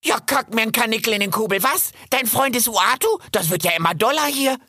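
A man voicing a cheeky, excitable male squirrel who rambles and curses crudely. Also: high voice, fast, no echo, clean studio sound, pitched above the performer's own voice, exaggerated voice. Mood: chatty. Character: chatty cheeky male squirrel, quick-tempered.

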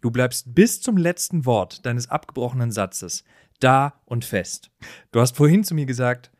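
A man's voice: medium pitch, animated, measured pace, darkish tone, no echo, clean studio sound. A man co-hosting a podcast in casual, humorous chat, with a wry, amused, teasing way of talking. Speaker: podcast co-host.

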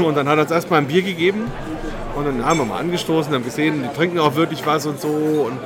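A man hosting a conversational podcast interview, chatty and quick, often repeating words as he starts a thought. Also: a little high, a crowd in the background. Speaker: podcast host.

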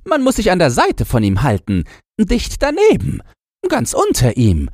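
A man voicing a boastful, nostalgic old chained dog in a shrill, yapping falsetto for a storybook. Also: wildly swooping pitch, a little slow, darkish tone, no room sound, studio quality, full character voice. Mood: excited, knowing. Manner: knowing, exclamatory, barking.

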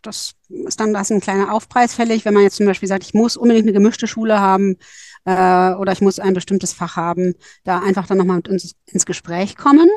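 A woman's voice: medium pitch, flat delivery, a little fast, a little mellow, no room sound, clean studio sound. A woman advising on school exchange programs, explaining in thoughtful, careful podcast talk with frequent fillers.

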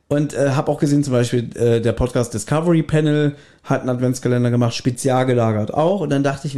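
A man's voice: a little high, warm tone. A man hosting a podcast in casual chat, rambling along with self-deprecating remarks. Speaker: podcast host.

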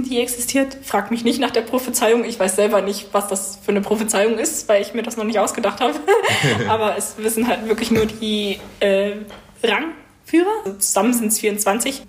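A woman in her twenties giving casual, chatty answers as a student being interviewed. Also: mid-range voice. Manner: chatty, informal.

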